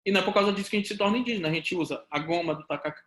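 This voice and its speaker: Animated Paraense law lecturer, roomy echo. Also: male voice, high-pitched, very fast. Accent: Paraense Brazilian Portuguese. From Pará, Brazil. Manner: animated, talks to students directly, rhetorical questions. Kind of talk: recorded law lesson.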